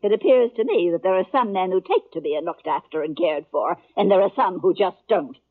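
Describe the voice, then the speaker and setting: An actress playing a brisk, no-nonsense older frontier aunt with a husky voice and tart, matter-of-fact delivery in 1950s radio western style. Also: female voice, lowish voice, animated. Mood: brisk, knowing. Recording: muffled 1950s radio recording.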